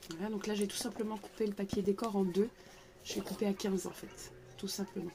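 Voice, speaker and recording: A middle-aged woman with a cold talks through a craft tutorial in her living room, filling gaps with little set phrases. Her voice is gravelly and stuffy, and there is steady noise behind her on the recording. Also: mid-range voice, a little fast. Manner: chatty, repeats "tout simplement" and "voilà", many fillers. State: congested with a cold. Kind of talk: casual craft tutorial narration.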